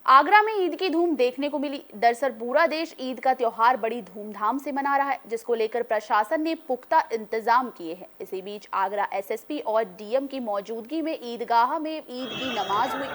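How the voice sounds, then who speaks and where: A woman's voice: on the high side, normal speed, hardly any pauses, a bit bright. A woman news anchor reading scripted bulletin narration in a formal manner.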